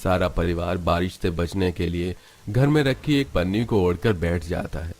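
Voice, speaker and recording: Deep-voiced male story narrator. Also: normal speed, darkish tone. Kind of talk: scripted dramatic narration of a cartoon story.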